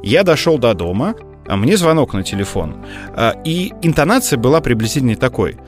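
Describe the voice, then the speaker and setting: Man, mid-pitched voice, podcast host, casual storytelling, hesitant and digressive, faint music underneath. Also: very animated, a little fast. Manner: hesitant, digressive, with many filler words.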